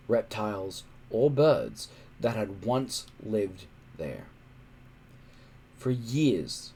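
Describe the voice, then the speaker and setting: A man reading a children's adventure story aloud in a dramatic, suspenseful way, with emphatic pauses. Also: fairly low pitch, dark tone, slightly rough. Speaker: storybook reader.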